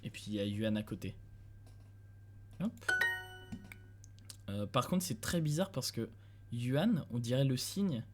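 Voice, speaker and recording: A man's voice: medium pitch, expressive, a little slow, long pauses, noisy background. Young man streamer thinking aloud. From France. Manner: thinking aloud, chuckling, talking to chat. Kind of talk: casual live-stream commentary. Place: dim room at home.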